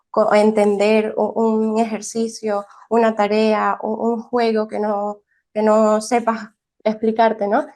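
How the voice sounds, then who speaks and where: A young woman with a slightly high voice, speaking as an early childhood teacher from Venezuela. She answers in a thoughtful, conversational way, often restarting her phrases and ending with a questioning tag. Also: darkish tone.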